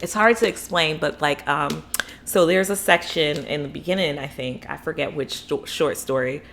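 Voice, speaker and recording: Low-voiced chatty woman book vlogger. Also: normal speed, creaky, background noise. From Florida, USA. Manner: chatty, rambling, enthusiastic, many fillers. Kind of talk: casual book review vlog.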